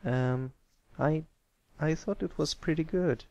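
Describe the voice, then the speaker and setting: A man's voice: on the high side, takes it slowly, vocal fry, a little mellow, no room sound, highs cut off, voice pushed up. A man voicing a bashful, meek young colt in a storybook reading, stammering and mumbling modestly. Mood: hesitant.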